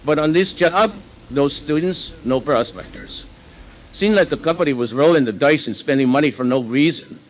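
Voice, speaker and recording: An actor playing a skeptical, seasoned drill operator giving conversational testimony in a horror tape. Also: male voice, a little high, lively intonation, moderate pace, lightly acted, low-quality recording. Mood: skeptical, wry.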